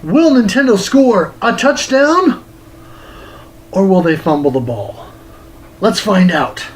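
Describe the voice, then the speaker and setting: A middle-aged American man reading a scripted review as host, with a theatrical, deliberate delivery. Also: sits high, lively intonation, breathy edge. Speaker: host of a retro game review series. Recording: music under the voice. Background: music.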